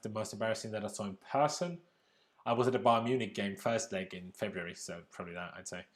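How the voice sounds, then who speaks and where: A young man from Austria hosting a live football reaction stream, chatting casually, reading viewer comments and laughing often. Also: fairly low pitch. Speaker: livestream host reacting to a football draw.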